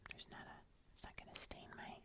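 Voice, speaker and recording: An ASMR host speaking entirely in a whisper, casually and gently rambling through a hands-on show-and-tell. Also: fairly quick. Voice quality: whispered, unvoiced.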